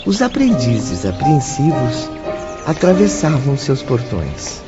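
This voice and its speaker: An actor with a Brazilian accent playing the formal, evocative storyteller of a radio drama. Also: male voice, medium pitch, huge pitch swings, takes it slowly, highs cut off. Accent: Brazilian Portuguese. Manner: formal, theatrical. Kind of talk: dramatic scripted narration. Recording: band-limited audio with music behind.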